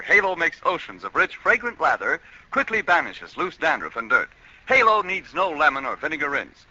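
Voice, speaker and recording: A man's voice: on the high side, bright, forceful tone, dry recording. A man reading a scripted 1940s radio commercial in a polished, emphatic manner. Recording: narrow old radio recording.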